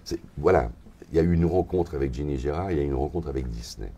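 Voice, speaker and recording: A man's voice: rumbling low, fast talker, slightly rough. An older man in his seventies, a voice actor, telling stories relaxedly in an interview, recorded with a lapel mic in a hotel lounge. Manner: relaxed storyteller, gestures while talking. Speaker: voice actor, guest. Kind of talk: interview conversation.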